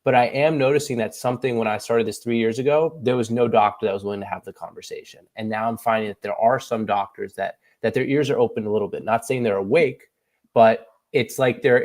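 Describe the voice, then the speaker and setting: Fast-talking man in his thirties. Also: fairly low pitch. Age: 30s. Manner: earnest, quick and animated, acts out quoted voices. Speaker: podcast guest. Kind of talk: casual panel chat.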